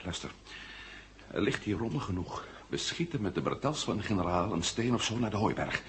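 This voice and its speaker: A man acting in a 1970 Dutch radio drama as a resourceful leader who gives brisk, conspiratorial orders. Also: lowish voice, sing-song. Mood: urgent, scheming. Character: resourceful commanding male leader in a sci-fi adventure. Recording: noisy old radio drama recording.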